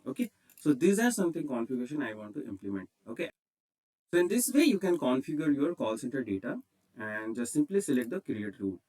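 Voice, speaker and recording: Distant-miked Indian-accented man teaching software. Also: medium pitch, big pitch swings, fast. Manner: instructional, repeats "okay" as a check. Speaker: tutorial presenter. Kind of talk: step-by-step software screencast tutorial.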